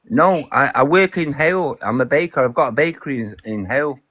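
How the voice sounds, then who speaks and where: A man's voice: medium pitch, lively intonation, fairly quick, a bit bright, no room sound. A man from Salford with a broad Mancunian accent, debating insistently as a caller on a live panel. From Salford, Greater Manchester, England. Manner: argumentative, insistent.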